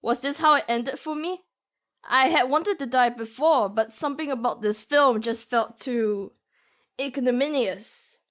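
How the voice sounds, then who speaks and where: A woman's voice: high voice, measured pace, a bit bright. A young woman reading a story aloud as an amateur voice actor, often laughing and restarting her lines. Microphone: home microphone that cuts out.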